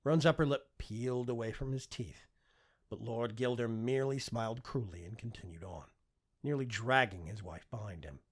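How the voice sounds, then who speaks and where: A man narrating a fiction audiobook with expressive, scripted storytelling delivery. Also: mid-range voice, normal speed, husky.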